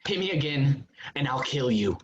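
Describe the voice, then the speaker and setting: A man's voice: medium pitch, animated, moderate pace, natural voice. Guy acting abusive big brother in a play reading, pleading then accusing, dull lo-fi video call sound w/ some noise. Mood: menacing. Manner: intense, shifts from pleading to accusing. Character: menacing, pleading abusive older brother back from war. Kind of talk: stage play reading, realistic drama. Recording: muffled, noisy video call audio with clicks.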